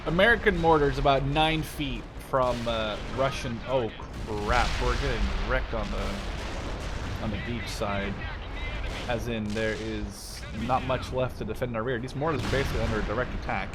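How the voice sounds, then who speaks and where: A man gives casual, rambling, expressive gameplay commentary in informal English. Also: medium pitch, moderate pace, loud music behind the voice. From Netherlands. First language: Dutch. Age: 20s. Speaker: gaming video host. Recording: game gunfire and artillery behind. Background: video game battle sounds.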